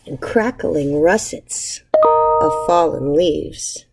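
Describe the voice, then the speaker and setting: A woman in her thirties, an elementary teacher reading a picture book aloud to children with measured, expressive storybook phrasing. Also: low voice, vocal fry, music in the background. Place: indoors, empty school classroom.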